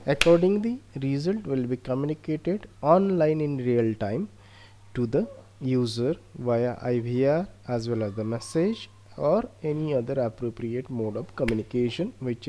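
A man with an Indian accent narrating a slide presentation as a researcher, explaining in slightly halting phrases. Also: medium pitch, mellow.

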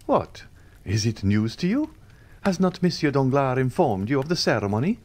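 A giddy, self-satisfied young gentleman gushing over his good luck and bragging of his own cleverness. A man reads him aloud, lifting his voice higher and livelier than his narration, with a swooping, animated lilt. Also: moderate pace. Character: giddy, boastful young social climber, male.

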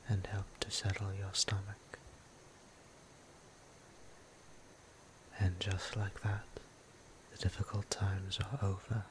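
A gentle vampire priest played by a man in an ASMR roleplay, whispering with formal, tender courtesy. His low voice stays very flat and moves slowly, with ambient sound behind it. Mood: tender, soothing. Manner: formal, hushed, unhurried, tender, with deliberate pauses. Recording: clear ambient background noise.

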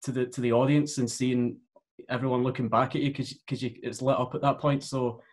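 A man's voice: mid-range voice, little pitch movement, fairly quick. A young man, a stage actor, giving a casual interview answer over a video call. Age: young adult. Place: room at home.

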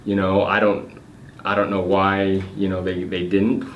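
A man with a very low voice answers interview questions in an unhurried, halting way, full of fillers and restarts. He is in an echoey office meeting room, picked up from across the table. A faint noise hums underneath. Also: completely flat delivery, dull audio. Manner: hesitant, many fillers and restarts. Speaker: guest, real estate investor.

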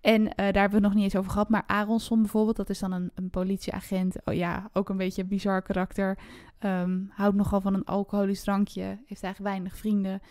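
A woman's voice: medium pitch, completely flat delivery, brisk, few pauses, darkish tone, no room sound, studio quality. A woman in a casual, enthusiastic book discussion, speaking as a podcast host.

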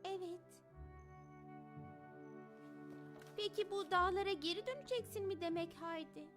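Very high, sensitive young girl. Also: a little fast, music in the background. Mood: questioning. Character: frail, sensitive young girl from a wealthy home. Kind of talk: Turkish-dubbed children's cartoon acting.

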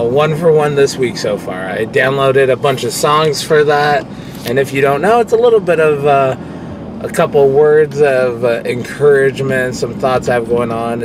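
Young male vlogger, casual and frank, records himself in a moving car with steady road hum. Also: mid-range voice. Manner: rambling, candid, swears freely. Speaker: vlogger and pro wrestler. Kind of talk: casual talk to camera while driving. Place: inside a car, driving. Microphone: phone camera on dashboard. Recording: car interior with road noise. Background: road and engine noise.

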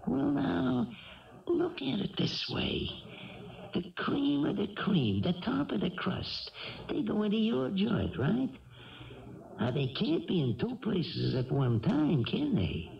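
A streetwise American ex-con burglar, gravelly and sly. His pitch swings widely as he needles and bullies in tough slang. It is old radio drama with a muffled sound. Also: huge pitch swings, takes it slowly, exaggerated voice. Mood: menacing. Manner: slangy, needling, mock-friendly tough talk. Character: sly, bullying male cat burglar, streetwise ex-convict. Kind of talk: 1970s radio drama acting. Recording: band-limited old radio broadcast, music behind.

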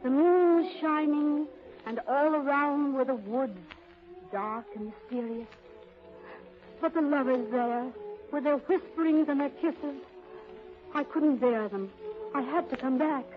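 A high-voiced, dreamy young secretary. She speaks with wistful theatrical innocence in 1930s radio drama style. The vintage recording is muffled, with faint music behind. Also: unhurried, long pauses.

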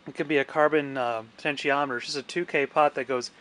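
A man's voice: a little high, fairly even intonation, fast talker, a bit bright. A man giving a casual technical demonstration, thinking aloud as he works. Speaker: radio restorer narrating his own repair. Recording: clear background noise.

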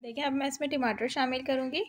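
Cooking host, woman, high pitch, patient instructive voice-over. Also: steady tone, quick pace, faint music behind. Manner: instructive, repeats "dekhiye" to guide viewers.

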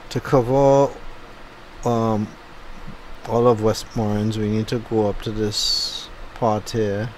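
A man with a Trinidadian accent giving a low-key, unenthusiastic running commentary while driving. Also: little pitch movement, slow, a little mellow, a little hiss or hum, rough recording. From Trinidad and Tobago. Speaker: driving vlogger. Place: inside a moving car.

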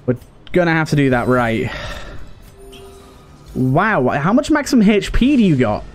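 A man's voice: on the high side, very animated, measured pace, soft background music. A man giving casual let's play commentary as a gaming host, talking to himself and to the characters on screen. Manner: talks to himself and to game characters.

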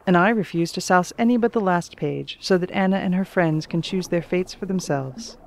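A woman's voice: on the low side, normal speed, vocal fry, slight background noise. A woman reading an audiobook as a composed, wry author character who narrates and debates. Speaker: audiobook reader.

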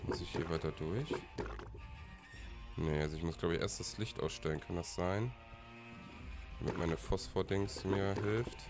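Deep-voiced streamer with a cold. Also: male voice, unhurried, pauses often. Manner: chatty, talks to viewers. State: has a cold. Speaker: let's player. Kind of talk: casual gameplay commentary. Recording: voice over game music.

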